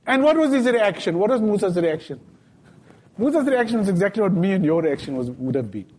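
A man preaches in a mosque, his fairly high voice swinging widely up and down. He tells stories in plain everyday speech and slips into lively dialogue. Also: fairly quick. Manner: storytelling, retelling scenes in everyday speech with lively quoted dialogue. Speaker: sheikh giving a Friday talk. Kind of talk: sermon.

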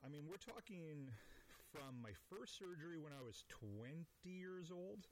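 A man's voice: a little high, expressive, unhurried, a little hiss or hum. A man in his forties hosting a podcast, rambling through a casual, profane, self-deprecating monologue. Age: 40s. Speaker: podcast host.

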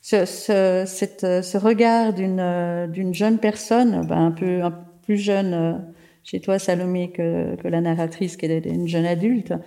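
A woman host leads a book discussion in a warm, mellow voice. She builds her questions slowly and carefully, with a few pauses. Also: fairly low pitch, steady tone, vocal fry. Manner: measured, thoughtful questions. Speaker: interviewer host. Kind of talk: literary interview.

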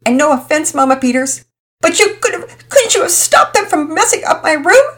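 A woman voicing a distraught young girl who talks high and fast, pleading and whiny. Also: sing-song, sharp tone. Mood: upset. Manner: high-pitched, rushed, pleading.

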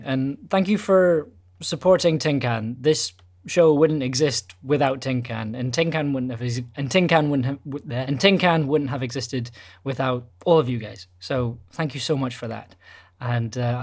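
A man's voice: mid-range voice, normal speed. A man signing off as the podcast's creator and host, earnest and grateful, now and then stumbling over his words. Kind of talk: scripted outro thanks.

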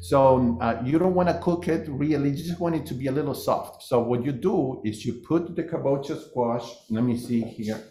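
A man in his fifties, a guest cook demonstrating a recipe in a halting, casual way. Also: mid-range voice, dark tone, echoey room, a little hiss or hum. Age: 50s. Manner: halting, many fillers and restarts.

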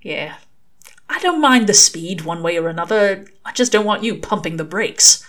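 Audiobook demon, performed by a woman: male, brusque, grumbling and slangy, dry with a soft streak underneath. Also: lowish voice, animated. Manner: offhand, slangy, drawn-out muttering. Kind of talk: audiobook character dialogue.

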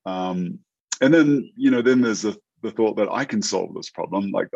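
A New Zealand man in his fifties with an American accent, a tech entrepreneur talking through an interview in a halting way, full of restarts and fillers. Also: lowish voice, animated, vocal fry, darkish tone, breathy edge, no echo, dull audio. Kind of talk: casual interview chat.

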